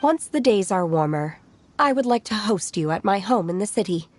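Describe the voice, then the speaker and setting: Woman as stoic young game heroine, medium pitch, formal, solemn, measured, soft score behind. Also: lively intonation, lightly acted. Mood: hospitable, firm. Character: calm, stoic, solemn young female disciple of immortals. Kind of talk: video game character acting. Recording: music playing behind.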